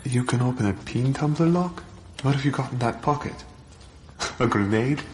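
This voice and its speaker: An actor playing a curious American operative on a covert search in a radio drama. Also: male voice, medium pitch, animated, normal speed, darkish tone, a little hiss or hum, lightly acted. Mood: curious, impressed.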